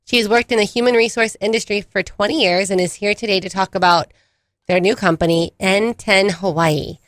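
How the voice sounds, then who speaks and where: A woman co-hosting a talk show, asking questions and reading guest introductions in a friendly, steady way. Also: mid-range voice, fairly quick, dry recording, clean studio sound. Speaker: show co-host.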